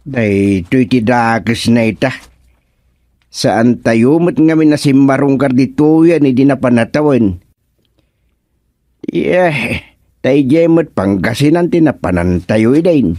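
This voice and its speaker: An actor playing a bewildered, stubborn village father with an Ilocano Filipino accent, in drawn-out, exclamatory radio comedy-drama style. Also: medium pitch, expressive, mellow, no echo, clean studio sound. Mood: alarmed, bewildered.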